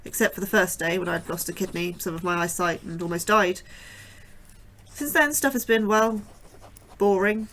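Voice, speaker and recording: A woman's voice: on the low side, moderate pace, low-quality recording. A woman as a wry, anxious young scientist. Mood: dry. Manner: wry, deadpan understatement. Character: anxious young female astrobiologist. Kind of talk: diary narration.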